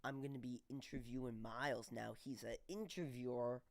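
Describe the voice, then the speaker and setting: A man's voice: mid-range voice, steady tone, moderate pace, gravelly. A man hosting a livestream interview, congested from a cold, upbeat and asking curious questions in casual chat. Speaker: livestream host. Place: home streaming studio.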